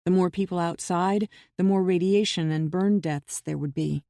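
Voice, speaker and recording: A woman reading as a grave young woman with dark visions. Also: fairly low pitch, normal speed, gravelly, no echo, studio quality. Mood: urgent. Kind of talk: audiobook character reading.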